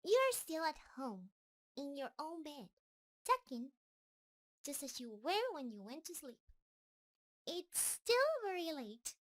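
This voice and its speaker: A woman's voice: high-pitched, very animated, takes it slowly, long pauses, heavily acted. A woman playing a shy, sweet, lovestruck spider monster in an intimate roleplay audio, nervous and hesitant in her delivery. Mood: excited, coaxing. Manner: nervous, hesitant, stammering, gushing.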